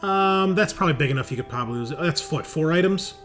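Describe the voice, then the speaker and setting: A man's voice: fairly high pitch, animated, fast, fairly bright tone. A man casually running a tabletop roleplaying game as game master, explaining rules in a conversational way. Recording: faint music behind the voice. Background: faint music.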